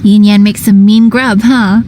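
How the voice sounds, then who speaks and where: A woman's voice: mid-range voice, steady tone, a little slow, noisy background, close to an everyday voice. A woman playing a helpful, businesslike young festival stallholder in a video game, chatty and explanatory. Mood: chatty, wry.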